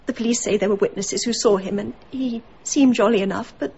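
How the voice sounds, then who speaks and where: A haunted, grieving woman in a radio drama. Her voice is high and fragile, and she speaks haltingly. The recording is a little dull. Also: vocal fry, dark tone, breathy edge. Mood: subdued, bewildered.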